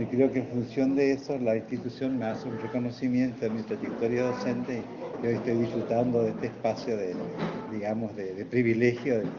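An older man with a northeastern Argentine accent answers interview questions as a retired professor, in an earnest, explanatory and halting manner. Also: mid-range voice, heavy background noise. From Puerto Iguazú, Misiones, Argentina. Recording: dull lo-fi, loud crowd chatter.

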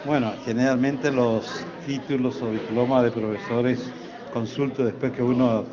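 An older man with a northeastern Argentine accent answers interview questions as a retired professor, in an earnest, explanatory and halting manner. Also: mid-range voice, steady tone. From Puerto Iguazú, Misiones, Argentina. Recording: dull lo-fi, loud crowd chatter.

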